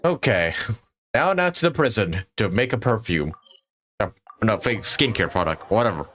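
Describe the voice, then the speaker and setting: A man's voice: lowish voice, animated, moderate pace, fairly bright tone. A man casually commentating on his live gameplay in a jokey, sweary way. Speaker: game streamer.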